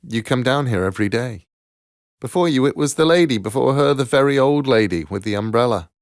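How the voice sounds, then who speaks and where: A curious, quietly playful ageless stranger from a storybook, voiced by a man, calling out in a lifted, creaky voice with a dry, close sound. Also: medium pitch, lively intonation, a little fast, no echo, pitched above the performer's own voice, close to an everyday voice. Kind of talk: storybook character voice.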